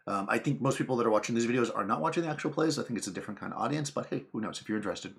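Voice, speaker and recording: A man's voice: mid-range voice, expressive, rapid-fire, gravelly. A man hosting his channel, talking casually to camera in a rambling, chatty way with lots of fillers and asides. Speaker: channel host.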